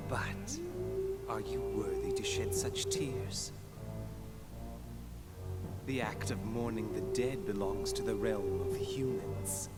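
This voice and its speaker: A man playing a sadistic, theatrical video game villain who taunts with gloating mock tenderness. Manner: theatrical, gloating, swinging from hushed to shrill. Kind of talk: dramatic video game cutscene acting. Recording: loud music over the voice.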